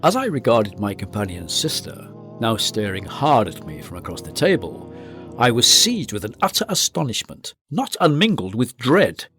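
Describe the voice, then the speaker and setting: Animated gothic gentleman narrator. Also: mid-range voice, normal speed, soft background music. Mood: uneasy dread. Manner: formal, literary, dramatic. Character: grave, dread-filled gentleman narrator of gothic tale.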